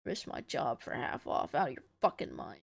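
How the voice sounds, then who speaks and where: Woman acting out a gruff, barking angry male soldier. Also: sits high, expressive, normal speed. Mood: threatening. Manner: barking, shouting orders.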